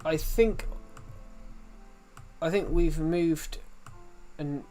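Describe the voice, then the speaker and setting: A man's voice: fairly high pitch, very slow, mellow, soft background music. A young man from the UK with a thick regional British accent, chatting casually on a game stream and restarting his sentences often. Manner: rambling, restarts often, reads chat aloud. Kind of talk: casual live-stream chat.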